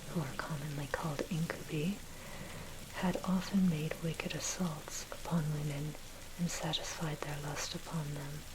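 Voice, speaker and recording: A woman whispers a scripted ASMR narration in a breathy, measured, scholarly way. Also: deep voice. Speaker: narrator reading own history blog post. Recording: loud rain noise over voice. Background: rain and thunder sounds.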